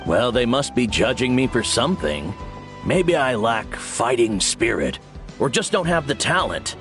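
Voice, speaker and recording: A man's voice: medium pitch, sing-song, a little slow, music in the background. A man playing a carefree, self-deprecating young slacker in a video game, laid-back and casual. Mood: dismissive, resigned.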